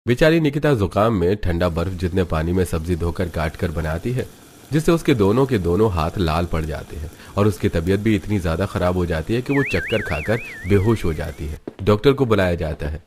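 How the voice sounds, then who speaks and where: A man's voice: deep voice, huge pitch swings, a little fast, darkish tone, slight background noise. A man reading scripted storytelling narration for a cartoon family tale.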